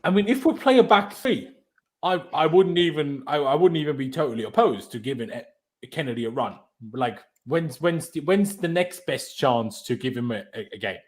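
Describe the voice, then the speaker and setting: A man's voice: fairly high pitch, lively intonation. Chatty Austrian man hosting a football chat. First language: German. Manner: laughs often, chatty and quick. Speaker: host. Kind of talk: casual football podcast chat.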